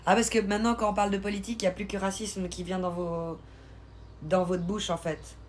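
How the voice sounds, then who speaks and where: A woman from Switzerland chatting casually on a live stream, reading and answering viewers' comments in an informal, slangy, expressive way. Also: medium pitch, a little fast. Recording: live stream with clear background noise.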